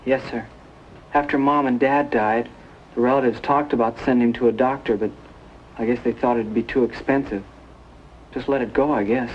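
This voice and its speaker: A man's voice: mid-range voice, fairly even intonation, normal speed, natural voice. Protective, earnest American teen brother, played by a man, 1950s television drama, dull hissy sound. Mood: polite, subdued. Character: earnest, protective older brother in his late teens. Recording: muffled, noisy old 1950s TV soundtrack.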